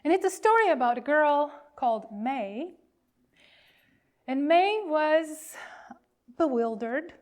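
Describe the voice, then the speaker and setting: Slow, higher-pitched woman minister telling a story. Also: lively intonation, a little mellow. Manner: deliberate phrasing with pauses. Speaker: minister leading worship. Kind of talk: worship reading and children's storytelling.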